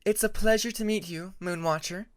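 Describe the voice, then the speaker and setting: Guy doing a young girl sea dragon, high voice, polite n perky, audiobook. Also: moderate pace, dry recording, studio quality, lightly acted. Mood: polite, apologetic.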